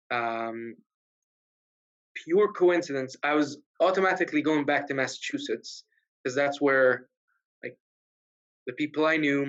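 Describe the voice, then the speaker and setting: A man from Egypt, a guest on a video-call interview, telling his story in a relaxed way with many "um"s and "uh"s. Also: mid-range voice. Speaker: guest, realtor. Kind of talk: casual interview chat. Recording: dull lo-fi video call audio.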